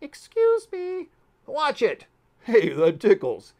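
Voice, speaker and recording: A small, indignant bug or sea creature , squeaked out by a man. It exclaims shrilly at a much higher pitch, with big swoops, as in a children's storybook. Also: huge pitch swings, takes it slowly, a little mellow, full character voice. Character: crowded, indignant little creatures, sea life and insects.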